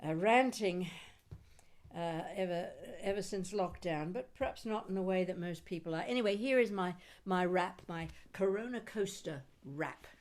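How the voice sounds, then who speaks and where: A woman reading her own poetry aloud in an expressive spoken-word style, with deliberate, dramatic phrasing and wry humour. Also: lowish voice. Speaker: poet reading own work.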